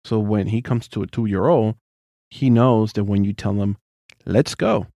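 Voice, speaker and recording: A man with a deep voice co-hosting a casual parenting chat, earnest and prone to repeating his points. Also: unhurried, gravelly, mellow, dry recording. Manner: earnest, repeats himself, non-native phrasing. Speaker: co-host.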